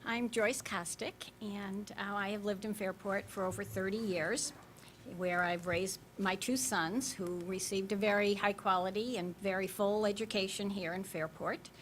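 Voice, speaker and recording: Measured woman in her fifties. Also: mid-range voice, steady tone, slight background noise. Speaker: school board member and candidate. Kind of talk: candidate statement at a public forum.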